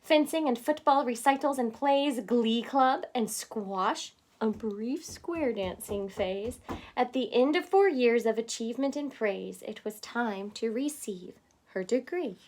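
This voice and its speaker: A woman with a fairly high voice reads a children's storybook aloud. Her delivery is dramatic and expressive, clearly pitched at young listeners. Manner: expressive, pausing to explain words simply. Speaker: storybook reader.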